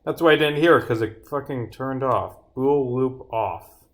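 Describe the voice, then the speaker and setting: A man's voice: medium pitch, measured pace. A man livestreaming casual commentary as he debugs his game, muttering to himself, exclaiming and swearing. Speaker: game developer streaming. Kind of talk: casual livestream commentary while bugfixing, reading dialogue aloud. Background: game music.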